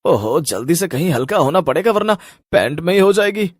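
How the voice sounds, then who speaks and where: A man's voice: a little high, big pitch swings, a little fast, no echo, clean studio sound. A man voicing a silly, film-loving ordinary fellow with theatrical exclamations in a Hindi cartoon story. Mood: carefree, delighted. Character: carefree, silly film-loving man who turns frightened.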